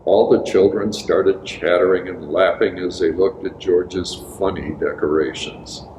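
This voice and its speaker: A man reading a children's storybook aloud, with expressive, emphatic phrasing for young listeners. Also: low-pitched, a little slow. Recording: echoey reverberant room with background hiss.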